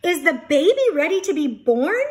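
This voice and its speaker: A woman voicing an eager, proud young boy bear in a children's storybook, with an excited, emphatic manner. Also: high voice, normal speed, pitched above the performer's own voice.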